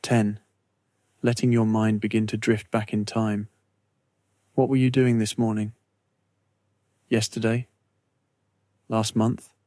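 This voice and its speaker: A man narrating a scripted guided meditation in a slow, measured, soothing way with long pauses. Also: fairly low pitch, flat delivery, warm tone, dry recording.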